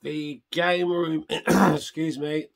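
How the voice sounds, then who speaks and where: An older man hosting a retro gaming vlog, chatting casually and rambling, hoarse from a chest infection. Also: a little high, little pitch movement, drawn-out words. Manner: rambling, self-interrupting, chatty. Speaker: retro gaming channel host.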